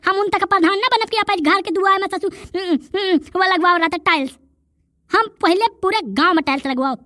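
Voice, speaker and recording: A man's voice: extremely high pitch, pushed and bright, dry recording, clean studio sound. A man voicing a brash young village tough in a cartoon comedy, scolding and taunting in rustic Bundelkhandi speech. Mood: boastful.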